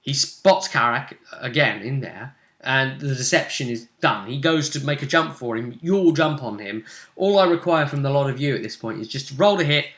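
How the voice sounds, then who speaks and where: A man working as game master, casually recapping a tabletop role-playing session with animated, emphatic storytelling in a roomy space. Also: mid-range voice, normal speed. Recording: roomy, echoey sound.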